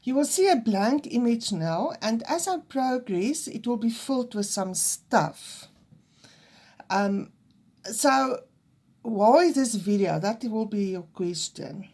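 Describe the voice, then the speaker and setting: Slow-talking Afrikaans-accented woman teaching crochet. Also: on the high side, lively intonation, a little mellow. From South Africa. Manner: hesitant and nervous, with fillers and restarts. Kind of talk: unedited crochet lesson.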